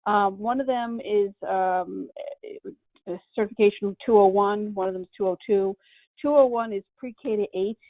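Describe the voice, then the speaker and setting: A woman giving an informal update over a cell phone, halting and conversational. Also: medium pitch, dry recording. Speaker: policy presenter. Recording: tinny, dry cell phone line.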